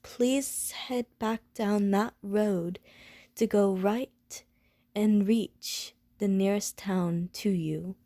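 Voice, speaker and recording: A woman playing a gentle, anxious, lonely Medusa who is wary of humans, speaking in careful, formal wording for an ASMR audio roleplay. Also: medium pitch, dry recording. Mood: wary warning. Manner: formal, careful, stilted wording, pleading and apologetic.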